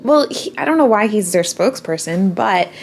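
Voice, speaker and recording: A woman's voice: medium pitch, animated, normal speed, vocal fry. A young adult woman vlogging in casual, chatty talk into a handheld camera at arm's length. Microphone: handheld camera or phone at arm's length. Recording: loud background noise on handheld camera.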